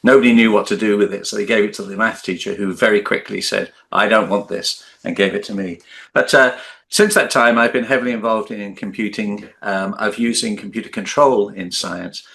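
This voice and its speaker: A man's voice: lowish voice, steady tone, fairly quick, vocal fry, fairly bright tone. An older man in his seventies, a retired teacher, introducing himself on a video call, joking and rambling with many fillers.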